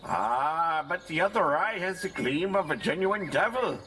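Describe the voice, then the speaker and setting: An actor playing a gossipy, wry rustic farmer in an audio drama, with a theatrical storytelling delivery. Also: male voice, on the high side, lively intonation, measured pace, pushed and bright. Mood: sly, reassuring. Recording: faint background noise.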